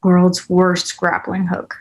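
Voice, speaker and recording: A woman's voice: lowish voice, monotone, slow pace, a little mellow, rough recording. A woman acting a brash, impatient, foul-mouthed fugitive in a screenplay table read. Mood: irritated, sardonic.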